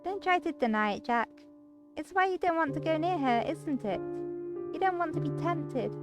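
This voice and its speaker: A woman's voice: sits high, lively intonation, moderate pace, over music, voice pushed up. A woman voicing a timid, insecure young girlfriend in a visual novel, meek, hesitant and apologetic.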